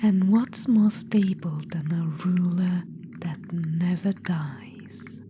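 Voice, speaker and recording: A woman voicing a vain undead witch-queen necromancer in an ASMR roleplay, whispering softly and calling the listener darling. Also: on the low side, slow pace. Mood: smug whisper. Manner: soft intimate whisper, calls listener darling; smug, boastful, self-satisfied. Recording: whisper with steady background noise.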